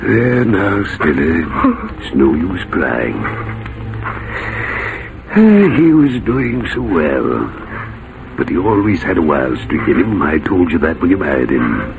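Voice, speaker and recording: An actor playing a guilt-ridden older banker in a 1940s radio drama, halting and pleading, with a gravelly voice. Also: male voice, sits low, wildly swooping pitch, full character voice. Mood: soothing. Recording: muffled, noisy 1940s radio recording.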